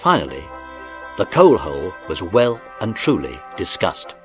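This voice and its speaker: A man narrates a children's story in a fairly low voice. His scripted delivery is lively and expressive, with faint music behind it. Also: measured pace.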